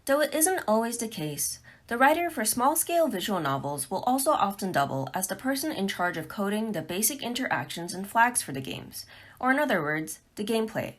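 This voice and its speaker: A woman reading scripted narration for an instructional talk, explaining things in measured phrases. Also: medium pitch, big pitch swings. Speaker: presenter of a tutorial talk.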